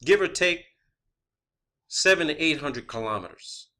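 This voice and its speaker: A man's voice: on the high side, lively intonation, measured pace, bright, forceful tone. A man giving an improvised, emphatic and profane monologue as an amateur explainer, repeating words for stress and checking his points with questioning tags. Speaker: amateur science vlogger.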